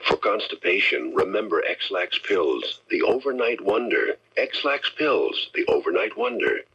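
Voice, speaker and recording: A man announces a radio commercial from a script. His voice is very low and crackles with fry, swinging widely in pitch. The recording is dull. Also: sing-song, unhurried, sharp tone.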